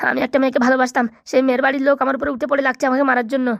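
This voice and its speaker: A woman's voice: sits high, little pitch movement, racing, vocal fry, dry recording, studio quality. A woman voicing a poor, desperate young runaway man in a comedy cartoon, pleading meekly. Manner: pleading, meek.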